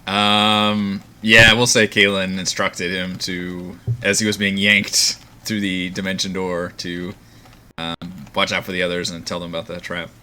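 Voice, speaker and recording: A man's voice: deep voice, flat delivery, unhurried, bright, forceful tone, a little hiss or hum. A man chatting casually as a player in a streamed tabletop roleplaying game.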